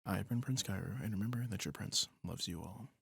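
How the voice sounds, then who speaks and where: A man's voice: low voice, fast talker, creaky, fairly bright tone, slightly rough, no echo, clean studio sound. A man who makes audio roleplays, casually thanking his listeners in an earnest, apologetic way.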